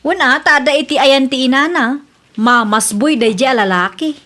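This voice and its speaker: Fed up blunt wife in an ilocano radio drama, really high voice, ilocano accent. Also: female voice, moderate pace, pushed and bright. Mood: weary. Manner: exasperated, blunt. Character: fed-up young widow complaining about her lazy second husband.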